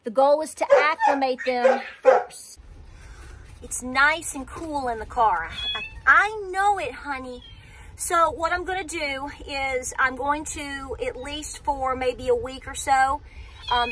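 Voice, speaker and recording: A woman's voice: high-pitched, a little slow, background noise. A woman, chatting casually to a phone camera from inside a parked car, rambling and restarting her sentences as she talks. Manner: chatty, rambling, self-interrupting. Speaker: homestead vlogger. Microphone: phone camera at arm's length.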